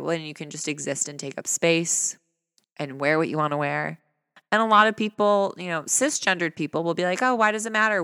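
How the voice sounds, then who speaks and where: Man, earnest vlogger, smooth voice, fairly high for a man, low end of pitch range, long reverb tail. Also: bright, forceful tone, clean studio sound. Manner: earnest, conversational, tag questions and rhetorical questions. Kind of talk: personal reflective talk to camera.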